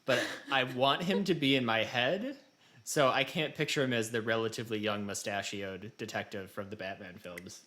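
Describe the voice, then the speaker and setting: A man's voice: mid-range voice, moderate pace, a bit bright. A man co-hosting a gaming podcast, chatting casually in a joking, rambling way. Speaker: podcast host.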